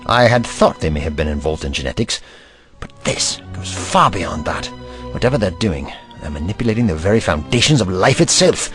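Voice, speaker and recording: An actor playing an urgent, protective alien doctor and investigator in an audio drama, articulate and authoritative. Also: male voice, low-pitched, very animated, fairly quick, fairly bright tone, faint music behind. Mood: thoughtful.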